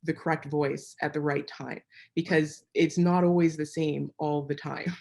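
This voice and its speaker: A woman who works as a journalist, offering measured, thoughtful remarks as a panelist at a conference. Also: sits low, fairly even intonation, vocal fry, a little mellow.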